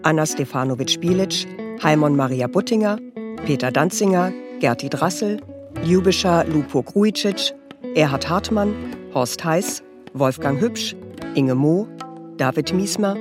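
A woman's voice: low-pitched, animated, unhurried. A woman reading scripted radio drama credits as an announcer. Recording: guitar music behind the voice.

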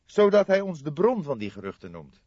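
A man voicing an agitated, eager young police detective in an audiobook, with excitable, emphatic delivery. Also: fairly high pitch, fairly quick, dull audio, pitched above the performer's own voice. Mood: pressing.

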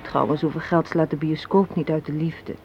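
Through a slightly hissy, muffled old radio-play recording comes a young woman with a sharp mind and a cynical streak. She argues assertively and articulately, with a sardonic edge. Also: low-pitched, steady tone, normal speed. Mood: challenging, cynical. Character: assertive, cynical young woman arguing against a marriage proposal.

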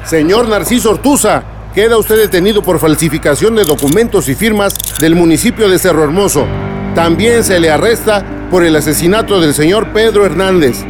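An actor playing a stern police officer in a radio drama, formal and declamatory. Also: male voice, high-pitched, fairly quick, music in the background, lightly acted. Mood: stern, official.

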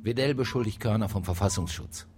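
An actor playing a stern, probing police detective in a naturalistic radio crime drama, terse and understated. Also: male voice, fairly low pitch, fairly quick, background noise, natural voice. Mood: weary, sober.

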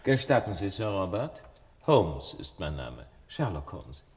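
A man acting in a radio drama as a shrewd, composed detective, speaking in a measured, polite, probing and drily ironic way. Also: low-pitched, big pitch swings. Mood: formal, probing. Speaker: actor in a radio play.

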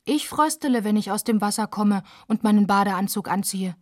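A woman narrating literary text for a radio play in a measured, clear manner. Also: medium pitch, no echo, studio quality.

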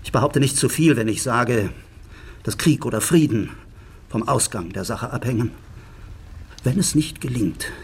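An actor playing a stern, imperious elder British prime minister in a radio drama, speaking gravely and formally with a husky, gravelly voice. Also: male voice, medium pitch, exaggerated voice.

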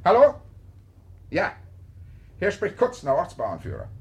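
An actor playing a vindictive, blustering local Nazi farmers' leader in a 1950s German radio play, accusing and barking orders. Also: male voice, sits high, wildly swooping pitch, a little slow, darkish tone, heavily acted. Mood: officious, insistent.

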